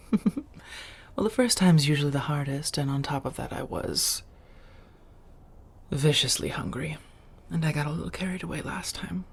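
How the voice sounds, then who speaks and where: A woman playing an affectionate, teasing vampire roommate in a soft-spoken ASMR roleplay, breathy and intimate, often half-whispering. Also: low voice. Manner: intimate, teasing, coaxing with pet names.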